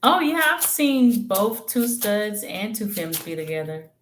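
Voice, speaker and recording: A woman's voice: mid-range voice, sing-song, a little slow, noisy background. A woman giving live tarot readings in a candid, slangy, chatty way, thinking aloud with hums and trailing phrases. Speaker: tarot reader. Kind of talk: casual live-stream reading and chat.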